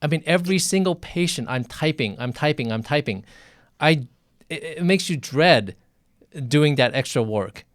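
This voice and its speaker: A man's voice: a little high, expressive, normal speed, dry recording, studio quality. A middle-aged male physician hosting a live interview, talking in a thoughtful, conversational way and often repeating words as he goes.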